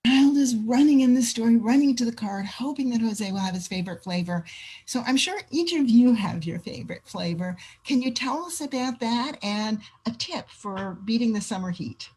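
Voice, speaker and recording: A woman's voice: fairly high pitch, expressive, normal speed, a little mellow, slightly rough, rough recording. A woman hosting a children's art session, presenting instructions and prompts in an encouraging way.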